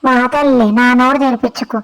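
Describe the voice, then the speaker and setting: A woman's voice: high-pitched, steady tone, fairly quick, rough recording. A woman voicing a stubborn, sassy pet dog who bosses people around in a snappy, exasperated way. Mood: bossy grumbling.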